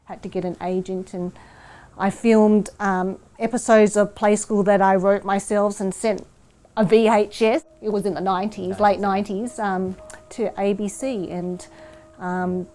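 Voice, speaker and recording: Animated middle-aged woman. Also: mid-range voice, measured pace. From Australia. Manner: animated, expressive, laughs while telling stories. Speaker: former children's TV presenter, interviewee. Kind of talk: lively storytelling in interview. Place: indoor living room by a window.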